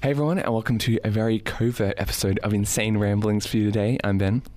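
Lowish-voiced Australian man, bantering casually. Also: steady tone, fast talker, darkish tone, no echo, clean studio sound. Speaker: podcast co-host.

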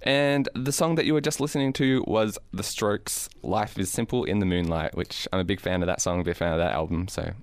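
A man's voice: sits low, brisk, hardly any pauses, no echo. A man hosting a casual radio show, bantering in a wry, teasing way.

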